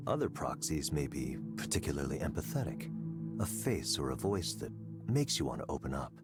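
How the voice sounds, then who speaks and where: A smug, calculating executive in a visual novel game, with a very low voice that swings expressively. He talks in a measured, condescending way, over prominent music. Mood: smug, probing. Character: smug, calculating male tech company boss. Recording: loud music bed under voice.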